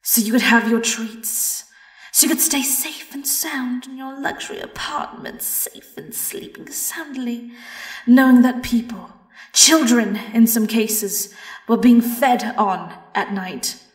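A woman's voice: fairly high pitch, slow pace. A British woman playing a vengeful, silky vampire in an audio horror roleplay, theatrical and taunting, slipping into whispers. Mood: bitter accusation. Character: vengeful female vampire singer, silky, mocking, gloating predator. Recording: roomy, distant, music behind.

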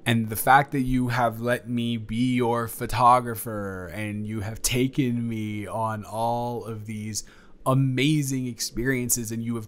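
A man's voice: mid-range voice, a bit bright, natural voice. A young man playing a nervous, devoted friend in an improvised romantic audio roleplay, talking conversationally with stammers and rambling. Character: nervous, devoted young male friend about to confess love.

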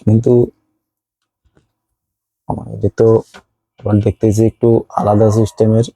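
A man's voice: lowish voice, monotone, measured pace, creaky, warm tone, breathy edge, dry recording, low-quality recording. A man with a Bangladeshi accent giving a casual phone unboxing review as a seller, explaining things and repeating his points.

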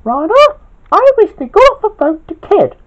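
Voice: very high voice, big pitch swings, normal speed, pitched above the performer's own voice. An amateur storyteller voicing a stern, bossy female restaurant boss who scolds in exasperation. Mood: angry scolding. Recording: dull, lo-fi home recording.

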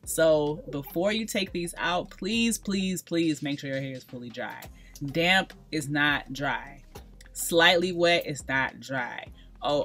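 A woman in her thirties with a low, deep-set voice talks straight to camera in a small bright room, coaching viewers through her hair routine. She leans on emphatic repeats and friendly pleas, with faint music under her voice. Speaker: natural hair vlogger. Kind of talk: casual hair tutorial talk.

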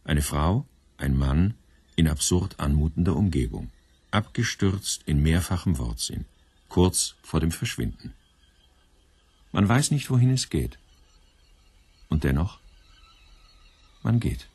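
A man narrating a scripted literary radio programme in measured, short, pointed phrases. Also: extremely low pitch, sing-song, creaky, darkish tone, husky, no room sound.

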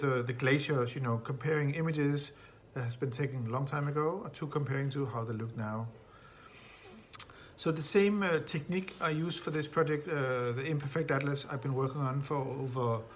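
A middle-aged man presenting his photo project on camera from a bright room at home, casual and halting, with many fillers and slightly non-native phrasing. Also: medium pitch, a little hiss or hum. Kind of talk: recorded talk to camera.

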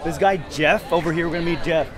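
A man chatting excitedly to camera at an outdoor boat show. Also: a little high, quick pace. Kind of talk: casual vlog chat. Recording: music and crowd behind.

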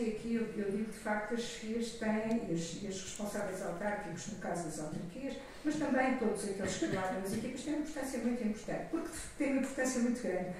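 An older woman with a European Portuguese accent gives a semi-improvised conference opening speech from an auditorium stage, halting and often correcting herself as she digresses. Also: medium pitch, a little mellow. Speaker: organisation president giving an opening address. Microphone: podium microphone, recorded from the audience. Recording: echoey hall from far off, noisy.

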